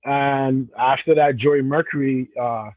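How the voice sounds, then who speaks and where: A man's voice: mid-range voice, fairly even intonation, a little slow, no echo, narrow, tinny audio. A professional wrestler from Cameroon, a man answering interview questions in a casual, rambling way with frequent restarts. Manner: rambling, many restarts and self-corrections.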